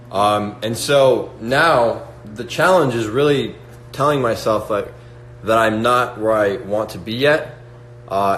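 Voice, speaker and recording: A man's voice: lowish voice, fairly bright tone. A man in his twenties hosting a casual livestream, talking to viewers in a motivational way with lots of "like" and "right?". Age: 20s. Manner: motivational, reads out chat questions, many fillers like "like" and "right?". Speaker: livestream host. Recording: echoey room with faint hum.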